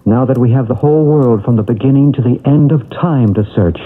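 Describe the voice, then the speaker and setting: An actor playing a calm, probing paranormal investigator in an old radio mystery, measured and earnest. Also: male voice, medium pitch, lightly acted. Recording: muffled vintage radio broadcast.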